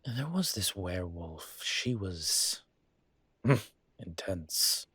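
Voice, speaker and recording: A man playing a flirtatious, wry immortal demon professor in an intimate, conversational audio roleplay, with a low husky voice and a teasing, playful manner. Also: animated, very slow, sharp tone, no room sound, exaggerated voice. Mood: wry, reflective. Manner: teasing, playful, breathy asides.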